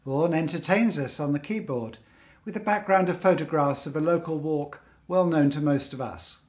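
A man's voice: fairly high pitch, moderate pace, a little mellow, slightly rough. An older man introducing a community programme and its guests in a plain, friendly presenting manner over a webcam call. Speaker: programme host and interviewer. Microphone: webcam video call.

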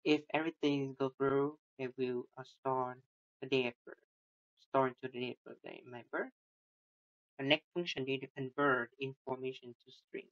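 Halting man walking through code. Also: mid-range voice, fairly even intonation. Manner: halting, many restarts and fillers, non-native phrasing. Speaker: student presenting a coding project. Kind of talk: screen-recorded code walkthrough. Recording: dull lo-fi sound.

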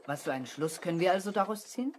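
A young man playing an earnest, eager boy detective with a deliberate, self-important manner in a children's radio play. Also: sits high, expressive, a little mellow. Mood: pondering, self-important. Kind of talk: 1970s German children's radio play acting. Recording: noisy 1970s radio play recording.

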